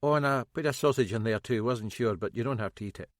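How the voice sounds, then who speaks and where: A man's voice: mid-range voice, quick pace, dry recording, clean studio sound, close to an everyday voice. Man doing a breathless young constable. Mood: obliging. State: half out of breath.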